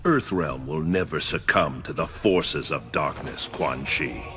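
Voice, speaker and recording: Deep, slow, stern god. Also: male voice. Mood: stern, commanding. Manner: commanding, solemn. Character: stern righteous thunder god passing judgment. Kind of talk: dramatic game cutscene acting. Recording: music behind the voice.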